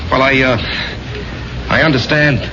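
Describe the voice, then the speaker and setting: An actor playing a troubled ex-soldier who hides fear behind breezy charm, halting and casual, in 1970s radio drama style. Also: male voice, medium pitch, fairly even intonation, crowd noise, close to an everyday voice. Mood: evasive, uneasy. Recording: muffled, noisy 1970s radio broadcast.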